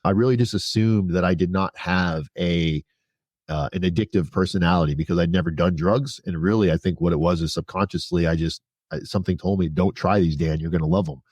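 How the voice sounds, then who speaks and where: A man's voice: low voice, fairly even intonation, fairly quick, a little mellow, dry recording. A man in his forties speaking as a podcast guest, telling his own story in a frank, conversational way. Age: 40s. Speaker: podcast guest, prison consultant. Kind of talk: candid personal storytelling in an interview.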